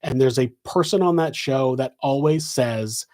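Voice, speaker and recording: A man's voice: mid-range voice, a little slow, darkish tone. A man with a West Coast American accent, hosting a live Q&A and answering in a casual, rambling way full of fillers and restarts. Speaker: podcast host answering questions.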